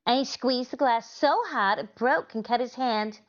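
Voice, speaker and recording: A woman's voice: fairly high pitch, normal speed, lightly acted. A woman playing a scatterbrained, cheerfully illogical housewife in 1940s radio comedy style, guileless and earnest. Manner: guileless, earnest delivery of absurd logic. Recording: dull lo-fi audio.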